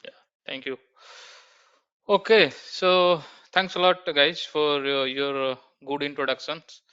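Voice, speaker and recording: A man who trains students in warehouse software and runs an online class. He talks haltingly over a video call and uses many fillers. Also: fairly high pitch, steady tone, smooth. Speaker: SAP trainer. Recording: dull, muffled video call audio.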